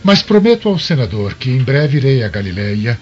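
An actor playing a courtly, unctuous Roman governor in a Brazilian radio soap, speaking formally and effusively. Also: male voice, medium pitch, very animated, moderate pace, slight background noise, natural voice. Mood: courteous regret. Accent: Brazilian Portuguese. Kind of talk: radio soap opera acting. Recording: dull lo-fi radio drama, music behind.

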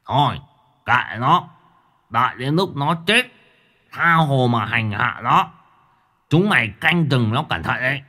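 A man's voice: medium pitch, expressive, a little slow, pauses often, bright, forceful tone, voice pushed up. A man voicing a cruel male ghost gang leader who sneers. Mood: sneering.

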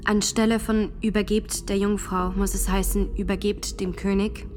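An actress playing a devout, steadfast young woman on trial in a historical radio play, answering earnestly and deliberately. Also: medium pitch, level tone, darkish tone, a little hiss or hum, close to an everyday voice. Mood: firm, composed. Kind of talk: serious historical radio drama. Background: music.

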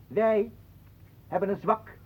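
An actor playing a pompous, obsequious, scheming artist in a radio play, speaking in a mannered, formal way. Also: male voice, sits high, takes it slowly. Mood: unctuous, formal. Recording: muffled, narrow-band old radio recording.

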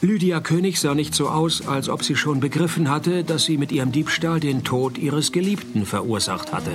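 A man's voice: on the high side, moderate pace, lightly acted. An actor playing a dry, sardonic private detective, narrating his case in a radio play. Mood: dry narration. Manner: deadpan, laconic, sardonic.

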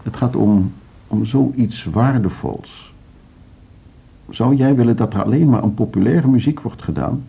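An actor playing an earnest, indignant lover of classical music in a radio drama, halting yet emphatic. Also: male voice, medium pitch, vocal fry, slightly rough, exaggerated voice. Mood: indignant, pleading. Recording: dull radio play recording.

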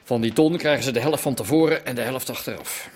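An actor playing a cool, businesslike gangster in a Dutch radio drama, terse, commanding and matter-of-fact. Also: male voice, mid-range voice, quick pace, a little mellow, natural voice. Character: cool, businesslike gangster arranging smuggling deals. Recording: clear ambient noise behind voice.

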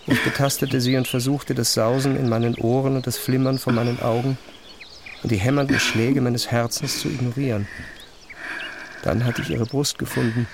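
A man reading first-person literary narration in an introspective, measured manner. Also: medium pitch, creaky, noisy background. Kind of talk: literary scripted narration, audio drama. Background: music.

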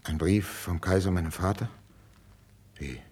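An actor playing a proud, jealous older king in a classical radio drama, imperious and theatrical, swinging from hushed menace to outbursts. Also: sits low, measured pace, slight background noise. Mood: hushed, suspicious. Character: proud, jealous, volatile older Spanish king, tormented by suspicion. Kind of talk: 1950s German classical radio drama acting.

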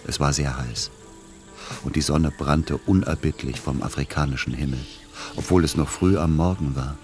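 An actor playing a devoted, patient grown son who also narrates a literary radio play in a measured, gentle, melancholy way. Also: male voice, very deep voice.

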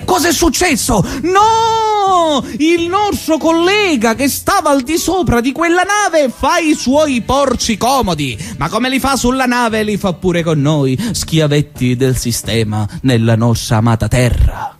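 A man with a Sicilian accent performing a satirical theatrical monologue, mocking and swinging between a sing-song lilt and sudden shouts. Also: very high-pitched, expressive, a little slow. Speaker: actor in a one-man radio show. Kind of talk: satirical theatrical monologue mimicking a radio host.

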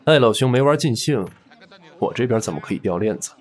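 A man's voice: medium pitch, animated, a little fast, close to an everyday voice. A man playing a suave, scheming boss, smug and teasing with a threat underneath, in a Chinese audio drama. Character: suave, scheming male boss, indulgent and menacing.

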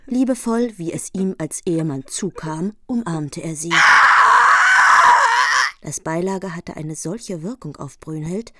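A woman's voice: on the low side, a little slow, dark tone, raised voice, slight background noise. A woman reading scripted narration for a radio play of an old legend.

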